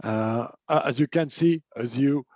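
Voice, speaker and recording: A man's voice: medium pitch, measured pace, a little mellow, no room sound. Hesitant male host, dull dry sound. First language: not English. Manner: hesitant, many fillers and repeated words. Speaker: host, life and business coach. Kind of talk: casual interview chat.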